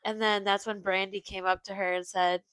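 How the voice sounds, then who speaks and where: A young woman co-hosting a casual podcast, reacting bluntly with exclamations of disgust and quick jokes. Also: mid-range voice, level tone, fairly bright tone, clear, resonant tone, no echo. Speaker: podcast co-host. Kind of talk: casual chat.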